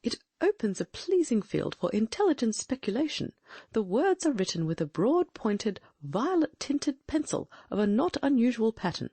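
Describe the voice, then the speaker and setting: Woman reading shrewd male detective, probing, lively pitch, gravelly edges, husky. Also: dry recording, full character voice. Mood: musing. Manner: probing, musing, quick questions. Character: shrewd, inquisitive male detective, middle-aged. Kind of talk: audiobook reading.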